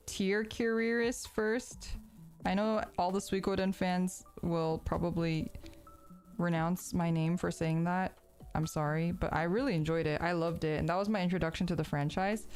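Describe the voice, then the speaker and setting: A woman in her thirties, a streamer chatting casually while she crafts, sounding sniffly and congested, rambling with lots of fillers and swearing. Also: lowish voice. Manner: rambling, profane, filler-heavy.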